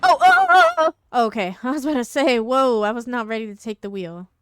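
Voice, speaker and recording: A woman's voice: on the high side, sing-song, fairly quick, fairly bright tone, clear, resonant tone, no room sound. A woman streaming a game live, reacting casually and off the cuff with some self-deprecation.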